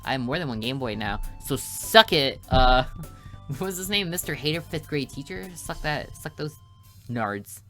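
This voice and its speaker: Young guy hosting a game playthrough, bright voice, rambly jokey asides, faint music behind. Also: mid-range voice, expressive, a little slow. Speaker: gaming video host. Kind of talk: casual playthrough commentary.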